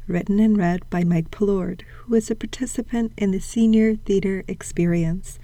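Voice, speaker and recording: A woman's voice: medium pitch, fairly even intonation, normal speed, mellow, no room sound. A woman reading a scripted podcast introduction as host, over plucked guitar. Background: plucked acoustic guitar music.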